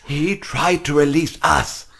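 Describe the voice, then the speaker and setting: Slow, husky robot showman, sinister. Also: a little high, steady tone, fairly bright tone. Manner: smooth then menacing.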